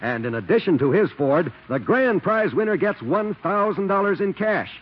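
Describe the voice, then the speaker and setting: An American man pitches like a 1940s radio announcer on a dull old recording. His high, husky voice moves with lively salesman emphasis. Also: moderate pace.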